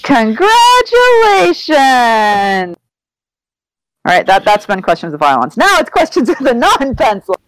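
A woman hosting a casual interview, excitable and exclamatory, with a habit of swearing freely. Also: on the high side, unhurried, no room sound, low-quality recording. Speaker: podcast host.

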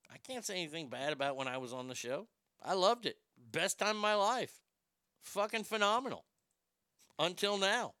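A middle-aged man hosting a radio show, telling crude, brash stories in a high tenor voice. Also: clear, resonant tone, no echo, studio quality. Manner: crude, brash, animated storytelling with profanity. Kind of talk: casual storytelling monologue.

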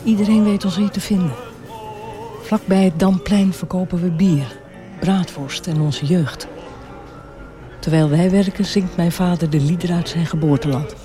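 An actor playing a weary son who works in his father's beer hall, speaking a measured, reflective inner monologue in a radio drama. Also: high-pitched, fairly even intonation, dark tone, lightly acted. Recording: music playing behind the voice. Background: music.

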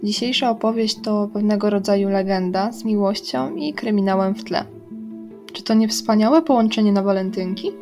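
A woman's voice: medium pitch, moderate pace. Young woman radio host reading out a crime story, music going underneath. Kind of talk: scripted storytelling narration.

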